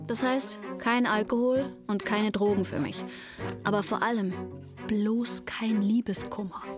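A woman's voice: medium pitch, lightly acted. An actress playing an anxious, wry teenage girl narrating her thoughts pensively in a radio drama.

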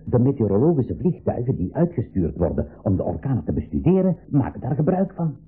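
An actor playing a worried, adventurous uncle who captains a flying craft, speaking emphatically in a school radio drama. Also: male voice, mid-range voice, wildly swooping pitch, brisk, slightly rough, exaggerated voice. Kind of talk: Dutch-language school radio drama acting. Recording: tinny, noisy 1980s radio play recording.

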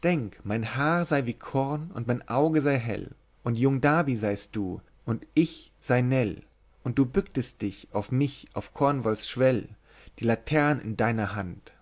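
A man voicing a lively, teasing young tavern woman in a German audiobook, raising his voice to play her. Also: mid-range voice, a little slow, pitched above the performer's own voice. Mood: sing-song. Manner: bright, bold, half-singing. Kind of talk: audiobook reading.

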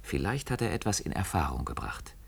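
Frustrated male engineer, lowish voice, explanatory, conversational, doubting, radio drama. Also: big pitch swings, brisk, vocal fry, slightly rough, exaggerated voice. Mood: brooding, suspicious. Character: puzzled, frustrated male planning engineer doubting his company. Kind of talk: German science-fiction radio play acting.

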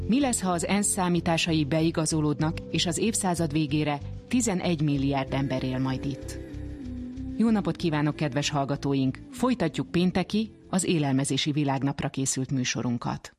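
A radio presenter reading scripted narration for a programme. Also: medium pitch. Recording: music under the voice. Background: music.

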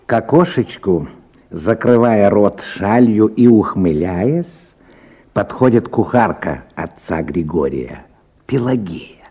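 A man narrating a short story in an expressive, scripted radio drama style. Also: fairly low pitch, slow pace, slightly rough, dull audio.

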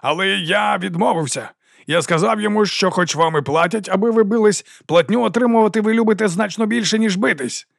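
A flamboyant old rogue of a mercenary captain, put on by a male audiobook reader, addresses his soldiers with theatrical pomp and sly self-mockery. The voice rides well above an everyday register, with a gravelly edge and a very dry, close sound. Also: high-pitched, a little fast, no echo, pitched above the performer's own voice. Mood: rousing, sly. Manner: theatrical, self-mocking, grandiose orator. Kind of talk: audiobook character acting.

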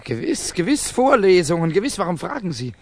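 An actor playing a bitter, guarded, touchy young Russian student in a 1960s German radio drama, with clipped, defensive questioning. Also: male voice, sits high, sing-song, a little fast, exaggerated voice. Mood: tense, guarded.

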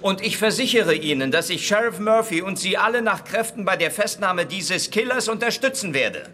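An actor playing a zealous hard-line state prosecutor making official, forceful speeches in a German radio drama. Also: male voice, high-pitched, expressive, normal speed, exaggerated voice. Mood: official, determined.

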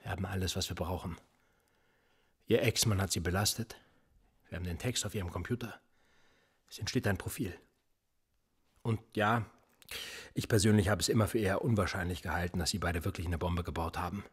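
An actor playing a cool, probing police officer who interrogates a suspect in a radio play, with a calm, dry, needling manner. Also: male voice, deep voice, fairly quick, pauses often, slightly rough. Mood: controlled.

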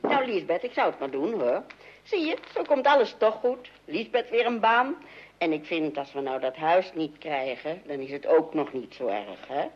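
Middle-aged radio drama mum, low expressive voice, soothing but chiding, muffled old recording. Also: female voice, moderate pace, breathy edge, full character voice. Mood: reassuring. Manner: gently chiding and reassuring. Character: patient, soothing, practical middle-aged mother and wife. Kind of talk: old Dutch radio play acting.

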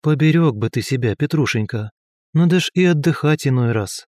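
A male audiobook reader voicing an aging empress who speaks fondly to her nephew and gives orders with calm authority. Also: rumbling low, moderate pace, no echo, clean studio sound. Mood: fond, scolding. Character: aging empress, caring, commanding aunt. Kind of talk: audiobook character voice.

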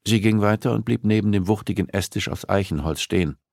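A man's voice: lowish voice, a little fast, gravelly, darkish tone, no room sound, studio quality. A man reading a novel aloud as scripted audiobook narration.

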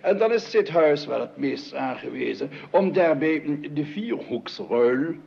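A man's voice: fairly high pitch, expressive, unhurried, creaky, heavily acted. An actor playing a flustered, officious male family doctor who stammers, hesitates and corrects himself in formal speech, in a radio comedy. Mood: officious, hesitant. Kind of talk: Dutch radio comedy drama acting. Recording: dull, noisy old radio recording.

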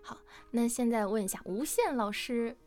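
A woman's voice: a little high, lively intonation, moderate pace, darkish tone, faint music behind. A woman hosting a playful live-stream question-and-answer session. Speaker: host of a live Q&A with voice actors.

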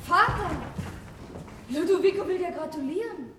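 A woman's voice: very high voice, animated, moderate pace, a bit bright. A woman playing an astronomer's eager, naive young daughter in a German radio play. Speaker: radio drama actor. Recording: distant, roomy, faintly noisy radio play recording.

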